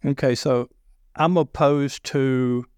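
A middle-aged man with a deep Southern accent, talking loudly and telling sales stories with many restarts. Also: slow, clean tone, no echo, clean studio sound. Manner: loud, animated storyteller with frequent restarts. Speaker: sales podcast guest. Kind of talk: casual conversation.

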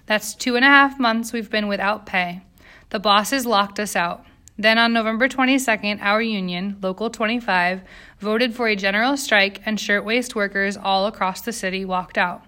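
A woman's voice: mid-range voice, fairly even intonation, normal speed, pushed and bright, natural voice. A woman voicing an earnest, determined young immigrant factory girl in an audiobook, with precise English. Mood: earnest recitation.

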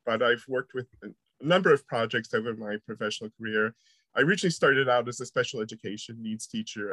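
A man's voice: medium pitch, bright, forceful tone. A middle-aged American man answers questions in a podcast interview, his speech hesitant and full of fillers. His tone stays friendly. The sound is dry and close, with no room echo. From United States. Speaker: university researcher guest.

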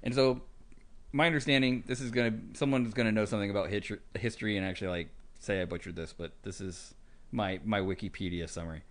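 A man's voice: fairly low pitch, fairly even intonation, fast. A man chatting casually as a podcast guest, explaining things in a loose, rambling way full of asides. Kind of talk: casual chat.

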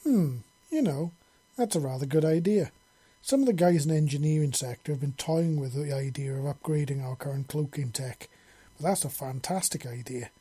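A man's voice: on the high side, normal speed, warm tone, slightly rough. A man voicing a sweet, easily flustered male alien engineer in an audiobook story, earnest and innocent. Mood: delighted. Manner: earnest, hesitant when flustered.

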